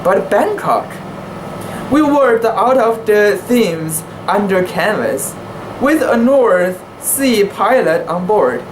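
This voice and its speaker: A woman giving an amateur dramatic reading of a classic sea story, careful and deliberate, with the occasional stumble. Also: medium pitch. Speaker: amateur reader. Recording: echoey room with steady background hiss.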